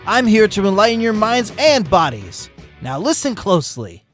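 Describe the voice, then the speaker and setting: Man voicing a hyperactive cocky sloth, high and animated. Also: moderate pace, over music. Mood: boastful, energetic. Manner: peppy, shouting, boastful. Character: hyperactive, cocky male sloth fitness instructor on caffeine. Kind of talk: fan fiction audio reading.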